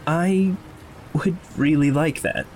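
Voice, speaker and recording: A man's voice: a little high, slow pace, a little mellow, faint music behind. A man playing a shy, flustered young regular in a fiction podcast, speaking in an awkward, hesitant, earnest way. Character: shy, flustered male regular in a fantasy drama. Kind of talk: naturalistic fiction podcast acting.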